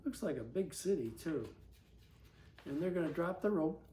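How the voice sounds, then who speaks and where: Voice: medium pitch, animated, moderate pace, warm tone, roomy sound, a little hiss or hum. A storyteller reading a picture book aloud to children, breaking off for folksy, conversational asides. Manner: folksy, conversational commentary between read lines.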